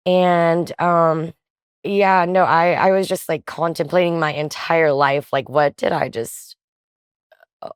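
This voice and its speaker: A woman's voice: low-pitched, slow, clean tone, dry recording, studio quality. A woman in her twenties from the Philippines, a guest chatting casually in an interview with lots of fillers and easy laughter. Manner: relaxed, chatty, laughs often, many fillers.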